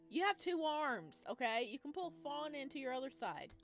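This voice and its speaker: A woman's voice: high-pitched, lively intonation, normal speed, creaky. Teasing woman commentator over game music. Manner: teasing, talks back to the characters. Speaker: let's-play commentator reading a visual novel. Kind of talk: playful reactive game commentary mixed with read-aloud narration. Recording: music behind the voice.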